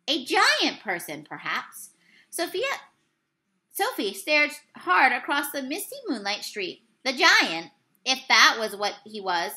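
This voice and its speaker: Expressive young woman reading a storybook. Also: high voice. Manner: expressive storytelling, swells and hushes for suspense; apologizes for slips and losing her place. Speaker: teacher reading aloud. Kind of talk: children's book read-aloud. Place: small room at home, plain wall behind. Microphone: webcam at desk distance.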